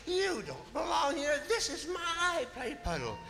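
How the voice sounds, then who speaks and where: A man's voice: extremely high pitch, wildly swooping pitch, measured pace, vocal fry, pitched above the performer's own voice, heavily acted. A man voicing a feisty little female marten, hissing and scolding indignantly. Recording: long hall reverb, loud music behind.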